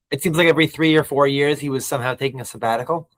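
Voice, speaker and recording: A man in his thirties who grew up between the US and Israel, a podcast guest telling stories in a reflective, halting way. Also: mid-range voice, fairly bright tone. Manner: reflective, many restarts and fillers. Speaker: guest, nonprofit CEO. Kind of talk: interview.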